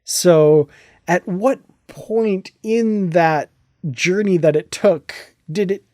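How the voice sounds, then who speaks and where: A man hosting a podcast, speaking in an effusive, excitable and enthusiastic way. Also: high-pitched, takes it slowly, dry recording, clean studio sound. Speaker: podcast host. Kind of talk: enthusiastic casual podcast discussion.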